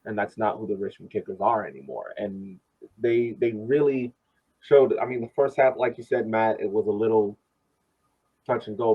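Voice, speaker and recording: A man co-hosting a soccer podcast, discussing the game casually in a halting way and repeating words. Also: on the low side, breathy edge. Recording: muffled, lo-fi podcast audio.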